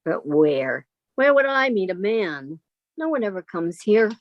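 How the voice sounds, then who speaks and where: A woman's voice: medium pitch, sing-song, unhurried, no echo, highs cut off. A woman playing a sheltered, timid, wistful woman of thirty-five in an old-time radio drama, plaintive and questioning. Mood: doubtful.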